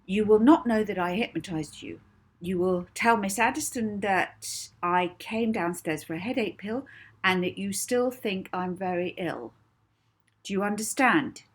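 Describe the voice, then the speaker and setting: A woman voicing a confident young girl in a children's audiobook, giving firm, deliberate instructions. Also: medium pitch, husky. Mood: commanding.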